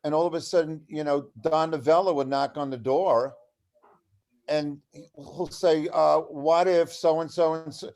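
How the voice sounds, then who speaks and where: A man with a fairly high voice chats as a panel guest on a video call. He tells stories casually, piecing his sentences together as he goes. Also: fairly even intonation, unhurried, a little mellow. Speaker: panel guest, comedy writer recalling his career. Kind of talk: casual anecdotal chat. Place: video call from New York.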